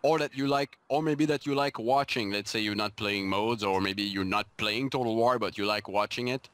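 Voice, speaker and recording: A man from Canada co-hosting a casual gaming podcast, halting and full of restarts as he eagerly poses questions. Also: on the low side, a bit bright, clean tone, no room sound. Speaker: podcast co-host. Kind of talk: casual group chat.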